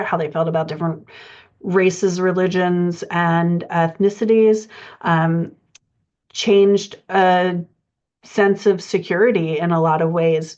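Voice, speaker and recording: A woman's voice: fairly low pitch, a little mellow, muffled recording. A middle-aged American woman giving an informal explanatory podcast lesson as a writing coach, thinking aloud in a hesitant way. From United States.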